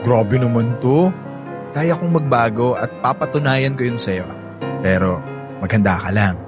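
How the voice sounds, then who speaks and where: Man acting cocky young playboy Percy, mid-pitched. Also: music in the background, lightly acted. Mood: cocky, persuasive. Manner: teasing, smug, drawn-out playful delivery. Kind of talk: radio drama acting.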